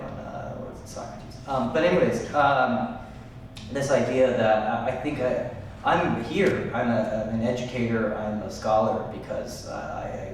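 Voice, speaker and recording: A middle-aged man speaking as a panelist, reflective and halting with many fillers. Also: lowish voice. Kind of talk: panel talk to a live audience. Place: large room at a live event. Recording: echoey hall, speaking from far off, noisy.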